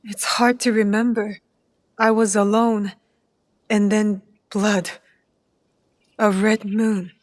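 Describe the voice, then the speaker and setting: An actress playing a young female shinobi and dutiful daughter in a video game, restrained, earnest and soft-spoken. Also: mid-range voice, flat delivery, takes it slowly, long pauses, dry recording, lightly acted. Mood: haunted. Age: young adult. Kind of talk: dramatic video game cutscene acting.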